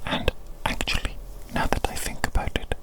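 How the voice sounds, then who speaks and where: An evil doctor played by an ASMR performer speaks entirely in a breathy whisper. The phrasing is formal, deliberate and repetitive, doting on a creature patient with a vengeful streak underneath. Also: natural voice. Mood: soothing, relieved. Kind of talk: whispered ASMR medical roleplay.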